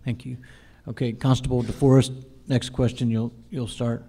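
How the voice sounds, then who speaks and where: A man's voice: mid-range voice, little pitch movement, moderate pace, dark tone. A man moderating a forum, reading questions to candidates in a formal, courteous manner.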